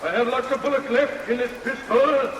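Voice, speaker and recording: An actor playing a gloating, crazed murderous barber villain in a theatrical, taunting vintage radio melodrama style. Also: male voice, very high voice, steady tone, moderate pace. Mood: defiant. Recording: old radio recording, echoey, distant, loud rain noise.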